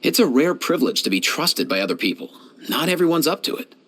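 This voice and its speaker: Easygoing young male game vet, kind and slangy, lightish pitch, very animated. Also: quick pace. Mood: relaxed, friendly. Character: laid-back, kindly young male veterinarian. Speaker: video game character voice actor. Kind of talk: game voice lines, conversational.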